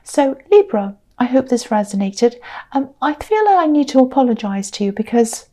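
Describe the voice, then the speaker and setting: A woman giving a casual tarot reading to camera. Her voice is tired and croaky, and she talks in a gentle, rambling way with many fillers and restarts. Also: on the high side, big pitch swings, normal speed. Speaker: tarot reader.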